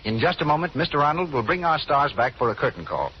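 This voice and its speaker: A man's voice: a little high, big pitch swings, fast talker. A man with an American accent announcing formally on a scripted 1930s radio program. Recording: muffled, hissy old radio recording.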